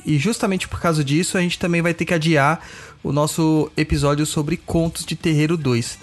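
A man with a Brazilian Portuguese accent hosting a podcast, chatting informally with his nose stuffed up from a cold. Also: a little high. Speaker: podcast host. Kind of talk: casual podcast update.

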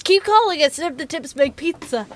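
Someone doing a small, vain, high-voiced movie star. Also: lively intonation, moderate pace, a bit bright. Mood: earnest confiding. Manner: self-important, earnest, confiding. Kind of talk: improvised character comedy.

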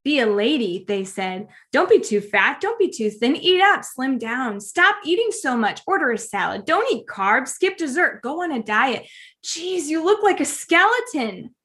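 A woman co-hosting a podcast, expressive and dramatic when reciting, quick to agree in chat. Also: sits high. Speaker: podcast co-host. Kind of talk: casual chat, reads a spoken-word list.

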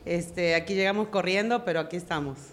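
A woman's voice: fairly low pitch, brisk. A woman, a podcast guest telling her story, hesitant and searching for words. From Argentina. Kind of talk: casual interview chat.

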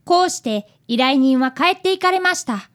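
A woman's voice: sits high, lively intonation, normal speed, a bit bright. A woman playing a prim, attentive detective's assistant, polite and matter-of-fact, in a comic radio drama. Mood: brisk, polite.

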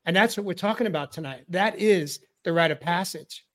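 A high-pitched man from the New York area hosts a men's talk show. He tells his story in a preacherly way and hammers key phrases through repetition. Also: brisk, a bit bright. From New York area, USA. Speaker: host. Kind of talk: heartfelt personal sharing on a men's talk show.

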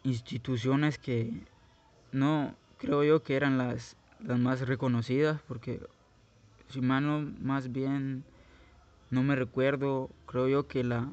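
A man's voice: mid-range voice, little pitch movement, slow pace, darkish tone, dull audio. A young Guatemalan man telling his life story in a casual, rambling way, with many restarts. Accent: Guatemalan Spanish. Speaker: podcast host.

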